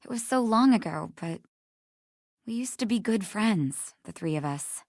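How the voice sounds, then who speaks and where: A woman's voice: mid-range voice, expressive, a little slow, a little mellow, no room sound, clean studio sound. A woman voicing a wistful, conciliatory video game character. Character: wistful, conciliatory woman reminiscing about old friendship.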